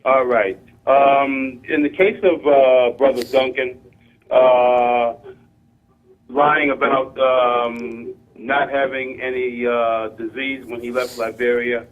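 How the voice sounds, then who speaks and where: A man calling in to a talk show, speaking politely and in a measured way with many hesitations. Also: mid-range voice, level tone. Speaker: caller. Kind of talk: call-in discussion. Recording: lo-fi phone line.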